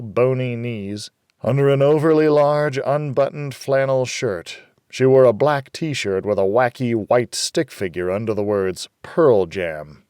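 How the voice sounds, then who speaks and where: A man's voice: mid-range voice, a little mellow, smooth, no echo, studio quality. A man narrating a first-person noir thriller audiobook in a wry, hardboiled storytelling manner.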